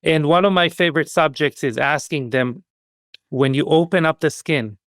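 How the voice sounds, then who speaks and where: A man speaking as a podcast guest, thoughtful and halting, often restarting sentences as he searches for his words. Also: fairly high pitch, fairly bright tone, no room sound, clean studio sound. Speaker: podcast guest, skincare business expert. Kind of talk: conversational interview.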